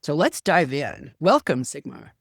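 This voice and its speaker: A woman hosting a podcast, reading a scripted introduction and then interviewing her guest with a soft, deliberate delivery. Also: deep voice, very animated, gravelly, breathy edge, no room sound, studio quality. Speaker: podcast host.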